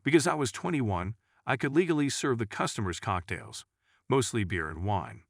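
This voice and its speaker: A man's voice: medium pitch, sing-song, normal speed, dry recording, clean studio sound. A man reading a scripted first-person scary story as a narrator.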